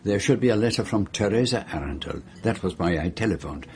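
An actor playing a courteous, shrewd Belgian detective with a French-speaking accent, formal and measured, in a radio drama. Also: male voice, fairly low pitch, lively intonation, darkish tone. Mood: measured, probing. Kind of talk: radio drama acting and narration.

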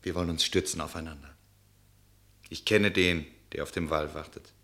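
A traveller deeply in love in an old-style radio drama. His voice is low, and he speaks with solemn, intimate devotion, like someone resigned to fate. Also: fairly even intonation, normal speed, vocal fry, pushed and bright, exaggerated voice. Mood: resolute, tender. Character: male traveller, devoted, fatalistic lover. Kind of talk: classic German radio play acting.

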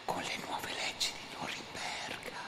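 A man acting a furtive male gossip passing on ominous news in a breathy, conspiratorial, halting whisper, in a radio play. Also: bright, forceful tone. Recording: long hall reverb.

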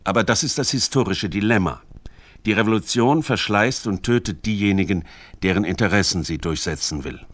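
A man reading scripted radio feature narration in a measured, precise and formal manner. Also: on the low side, a bit bright. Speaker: documentary narrator. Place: dry studio.

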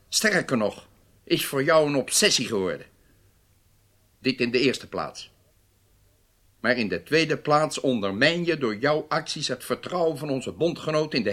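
A man acting in a radio drama as a stern, authoritative government leader who speaks formally and with measured weight. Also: medium pitch, a bit bright. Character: stern, weighty Israeli government leader rebuking his intelligence chief.

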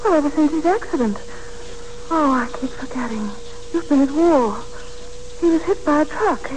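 Woman playing a high-voiced, fretful, lonely daughter. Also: moderate pace, lightly acted. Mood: anxious, evasive. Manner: hesitant, fretful, vague. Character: anxious, lonely, evasive daughter of a hospitalised man. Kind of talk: 1940s radio drama acting. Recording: noisy old 1940s radio broadcast.

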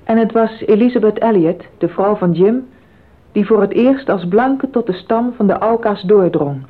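A woman's voice: medium pitch, moderate pace. A woman reading scripted, solemn narration for a documentary drama. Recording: narrow phone-like old radio sound.

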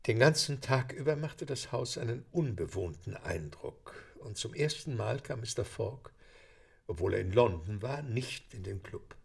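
A man's voice: medium pitch, lively intonation, moderate pace, gravelly, husky. A man narrating a German audio drama as a dramatic, scripted storyteller. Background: background music.

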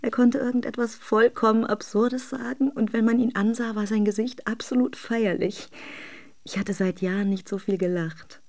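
A woman's voice: fairly high pitch, fairly even intonation, normal speed, darkish tone, natural voice. An actress playing a pensive married woman in a radio drama inner monologue, introspective and often nearly whispering. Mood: fond, pensive. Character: pensive married woman privately recalling lover and husband, yearning.

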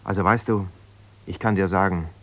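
A fairly low, closely dry-recorded voice of a jealous, wounded husband in a naturalistic radio play. He is a lawyer who explains himself haltingly and colloquially, as if confiding over the telephone. His delivery is self-justifying and aggrieved. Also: steady tone, fairly bright tone, no echo. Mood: bitter, helpless. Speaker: radio drama actor. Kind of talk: naturalistic radio drama, one side of a phone conversation.

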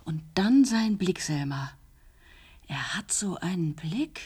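A woman's voice: lowish voice, lively intonation, takes it slowly, darkish tone, breathy edge, heavily acted. An actress playing a middle-aged, fearful, nagging mother and landlady in a radio play, alarmed and insistent, often repeating herself. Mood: suspicious. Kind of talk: German radio play acting.

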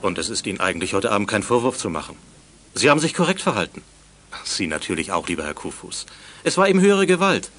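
A male school director in a 1980s German radio play. He speaks formally and placatingly, his pitch sweeping very widely, with faint noise behind him. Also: big pitch swings, quick pace, fairly bright tone. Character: male school director, theatrical, conciliatory authority. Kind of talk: 1980s German youth radio drama acting.

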